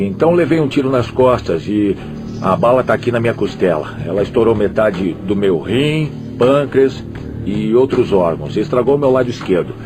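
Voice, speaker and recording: A man's voice: mid-range voice, close to an everyday voice. A man dubbing a proud adult former gang member, talking in casual street style with frequent tag questions. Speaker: dubbed interviewee. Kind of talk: documentary dub voice-over.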